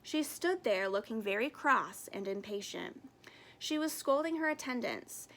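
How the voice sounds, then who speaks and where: A woman reading a storybook aloud in an expressive narrating style with careful phrasing. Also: a little high, a little slow, rough recording. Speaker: storybook reader.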